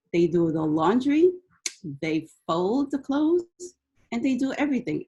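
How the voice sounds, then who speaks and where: A woman's voice: lowish voice, a little mellow. A woman whose first language is Hawaiian, from Niihau, presenting slides to a webinar audience in a loose, hesitant way with frequent fillers. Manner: hesitant, many ums and restarts. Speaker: presenter, teacher educator. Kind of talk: casual presentation talk.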